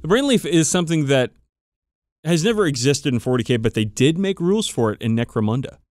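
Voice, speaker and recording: A man's voice: a little high, animated, fairly quick, darkish tone, dry recording, studio quality. A man co-hosting a podcast, chatting casually and enthusiastically about tabletop game lore with plenty of swearing. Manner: profane, enthusiastic, informal. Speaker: podcast co-host.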